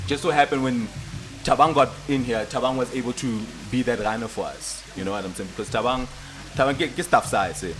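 Animated podcast co-host. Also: male voice, medium pitch, fairly quick, over music. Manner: animated, swears freely, laughs often. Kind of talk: casual chat.

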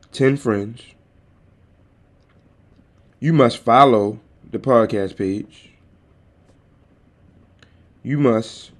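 A man hosting his own podcast, talking casually and directly to his listeners in a calm, soothing voice into a desktop microphone. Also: medium pitch. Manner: easygoing, addresses listeners directly. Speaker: podcast host. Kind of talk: casual solo podcast talk. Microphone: desktop USB microphone.

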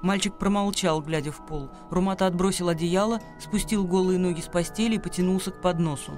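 Male narrator for radio play, notably high register, literary storytelling, light music behind. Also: steady tone, fairly quick, a little mellow.

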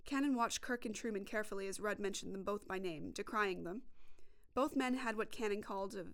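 A woman's voice: mid-range voice, a little fast, a bit bright. A young woman reporting and narrating a scripted documentary podcast.

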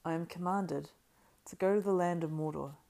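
A woman reading the lines of a weary but resolute young male hobbit, firm and quiet. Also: lowish voice, moderate pace, vocal fry.

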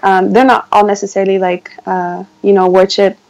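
A woman with a soft voice, talking in a casual interview as a guest singer, hesitant and full of fillers. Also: medium pitch, level tone, moderate pace, low-quality recording. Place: near her piano.

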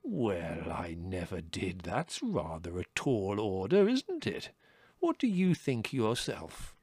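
A man's voice: lowish voice, sing-song, unhurried, fairly bright tone, slightly rough, dry recording, full character voice. Man acting an urbane old chief rabbit, swooping drawl. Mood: mildly condescending. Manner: drawling, over-polite, unruffled, with musing repetitions. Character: aging male chief rabbit, urbane, detached, condescendingly polite.

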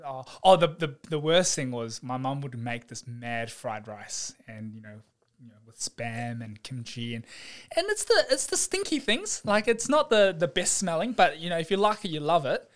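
A young man, a physiotherapist guest chatting casually on a podcast, relaxed and quick to laugh, with plenty of fillers. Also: on the high side. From New Zealand. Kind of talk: casual chat.

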